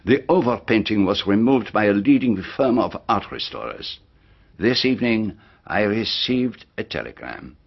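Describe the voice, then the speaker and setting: Low-voiced, methodical detective. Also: male voice, expressive, gravelly. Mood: methodical, grave. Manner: deliberate, formal, precise accusing exposition. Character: shrewd, methodical older male detective explaining a crime. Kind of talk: radio drama acting. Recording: dull band-limited radio drama recording.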